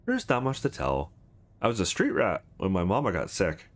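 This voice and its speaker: A man's voice: sits low, wildly swooping pitch, normal speed. A man voicing a streetwise young woman driver in a visual novel, frank, scrappy and matter-of-fact.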